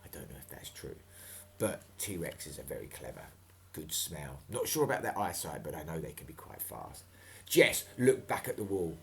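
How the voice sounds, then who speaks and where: A man's voice: extremely low pitch, big pitch swings, moderate pace, creaky, a bit bright, a little hiss or hum. A man reading a storybook dramatically and breaking off for chatty, playful asides.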